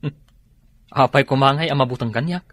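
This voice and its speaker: A man acting a nosy, cheeky, naive worker in an Ilocano-accented radio drama, with a husky voice. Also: mid-range voice, fairly quick, no room sound, natural voice.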